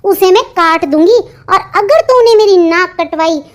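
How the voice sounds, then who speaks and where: A very high, almost childlike voice from a woman plays a stern middle-aged mother in a cartoon family drama. She nags, orders and threatens, bossy and melodramatic in her delivery. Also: a little slow. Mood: angry, threatening. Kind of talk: melodramatic Hindi cartoon dialogue.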